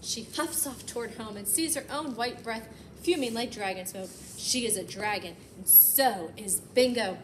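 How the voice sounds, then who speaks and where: Woman doing kids storytime, kinda high voice, animated n chatty, lots of noise behind her. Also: normal speed, few pauses, poor audio. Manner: animated, emphatic delivery aimed at young children. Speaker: library storytime host reading a picture book aloud. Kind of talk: expressive children's read-aloud with chatty asides.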